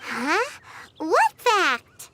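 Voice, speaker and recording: A woman voicing a tiny, curious, childlike fairy companion in an anime-style video game, squeaky and chatty. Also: huge pitch swings, very slow, full character voice. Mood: puzzled. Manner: exclamatory, chatty. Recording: loud music behind the voice. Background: loud game music.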